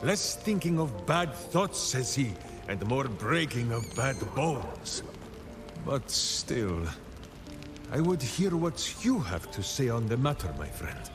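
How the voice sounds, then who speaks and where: An actor playing a hearty, earnest hulking ranger in a video game, grandly speaking of himself in the third person. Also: male voice, mid-range voice, very animated, slow pace, over music. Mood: troubled, pondering. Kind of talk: theatrical video game dialogue.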